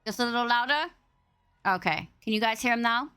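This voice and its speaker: A woman hosts a chatty interview stream in a big, boomy voice with a bright, forceful edge. Her pitch sits fairly high and moves around in lively swoops. She is effusive and playful, and rambles from one thought to the next. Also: fairly quick, smooth, soft background music. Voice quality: loud, boomy voice. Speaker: podcast host and interviewer. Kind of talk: casual, chatty livestream podcast interview.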